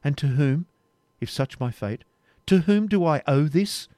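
Man doing a firm, dignified young woman captive, pitched up from his own low voice, dry close sound. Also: moderate pace, dry recording, lightly acted. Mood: indignant. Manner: earnest, steady pleading. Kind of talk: audiobook reading, period novel.

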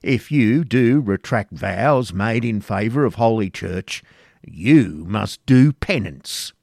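A burly medieval friar from a storybook, acted out by a male narrator, blustering and spoiling for a fight. His speech is archaic and bombastic, in a fairly low voice recorded close and dry. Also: animated, slow pace, gravelly, a little mellow, no room sound, full character voice. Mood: threatening.

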